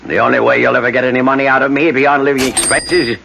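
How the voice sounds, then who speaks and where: Gravelly, stern older father. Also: medium pitch, little pitch movement, fast talker, bright, forceful tone, a little hiss or hum. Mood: cold, scornful. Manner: commanding, scornful. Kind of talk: old-time radio drama acting. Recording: dull old radio recording.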